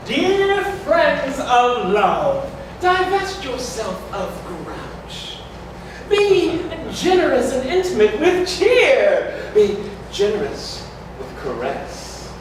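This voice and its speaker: A performer playing a festive, ceremonious god of lovers, declaiming florid verse in drawn-out phrases at a staged reading. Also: high voice, huge pitch swings, heavily acted. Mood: grand, playful. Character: Hemeros, grandly festive male deity of lovers, ceremonious herald. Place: community hall stage before an audience. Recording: echoey hall, distant mic, noisy.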